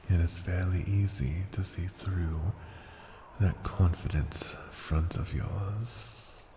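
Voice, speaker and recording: Man voicing a very deep, slow, seductive ancient dragon. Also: dark tone. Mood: seductive, teasing. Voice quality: breathy, often dropping to a whisper. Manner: slow, deliberate, teasing endearments. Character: ancient male dragon familiar, seductive, possessive, condescending. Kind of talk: ASMR fantasy roleplay. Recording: roomy echo, noisy ambience.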